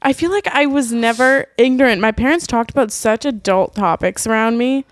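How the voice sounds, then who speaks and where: Young woman cohost, kinda high voice, dry close mic, thoughtful questions. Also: moderate pace, no echo. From Boston. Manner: reflective, questioning. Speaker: podcast co-host. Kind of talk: casual chat.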